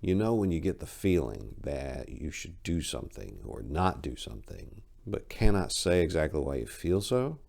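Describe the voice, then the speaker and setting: A man voicing an ancient freed angel, serene, knowing and courteous, in a calm, formal storybook reading. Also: rumbling low, moderate pace, creaky, hardly any pauses, full character voice. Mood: calm, instructive.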